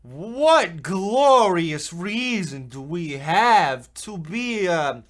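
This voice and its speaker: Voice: mid-range voice, animated, very slow, a bit bright. An actor playing a cocky, scheming, trigger-happy young boy with a folksy tough-talking manner in a comedy radio play. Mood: boastful, bossy.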